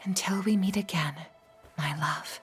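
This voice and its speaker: A loving mother voiced by a woman with a medium-pitched voice. She sounds gentle and soothing, in game cutscene style, with faint music behind. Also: a little slow, lightly acted. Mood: tender farewell. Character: tender, loving mother of a small girl. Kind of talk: video game cutscene acting.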